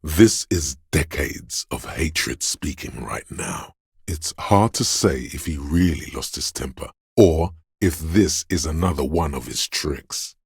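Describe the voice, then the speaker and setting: Very deep, gravelly, menacing inner voice. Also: male voice, lively intonation, no echo, clean studio sound, full character voice. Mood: cold contempt. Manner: ominous, slow, deliberate delivery. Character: menacing, paranoid inner voice of primal fear and aggression. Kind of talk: dramatic second-person game narration.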